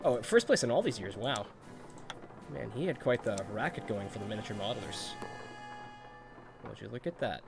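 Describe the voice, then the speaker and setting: A man's voice: on the low side, expressive, normal speed, creaky, long pauses, music in the background. A congested man with a cold giving chatty, nostalgic commentary while streaming a video game, laughing often.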